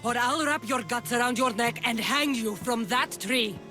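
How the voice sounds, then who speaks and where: A woman's voice: fairly high pitch, normal speed, lightly acted. A woman playing a proud, hot-tempered warrior in a dramatic game cutscene, blunt and menacing. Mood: threatening, angry. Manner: blunt, menacing, foul-mouthed. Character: brash, hot-tempered female Greek warrior, proud mercenary. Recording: loud music under the voice.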